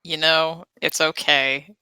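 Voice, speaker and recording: A woman chats as a player in a tabletop game, wry and observant. She speaks slowly in a bright, clear-cut tone, recorded close and dry. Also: low voice, little pitch movement, no room sound. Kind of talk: casual game chat.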